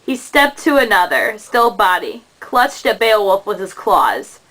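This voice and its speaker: A woman giving a measured, dramatic narration of an epic poem as the storyteller of a puppet show. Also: medium pitch, sing-song, fairly bright tone, a little hiss or hum, low-quality recording.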